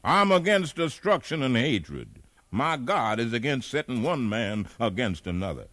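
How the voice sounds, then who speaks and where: A gentle man of the gospel in a 1950s radio play. He is earnest and unhurried, with a lively rise and fall in a dry, close sound. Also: medium pitch, no room sound. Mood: firm, grave. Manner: earnest, measured, pious. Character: gentle, devout, peace-loving slave man of the gospel. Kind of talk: 1950s radio drama acting.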